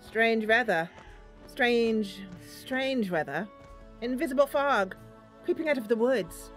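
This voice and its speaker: A woman's voice: fairly high pitch, animated, slow, soft background music. A woman voicing a jittery, addled old man who halts and repeats words over and over. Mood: agitated, rambling.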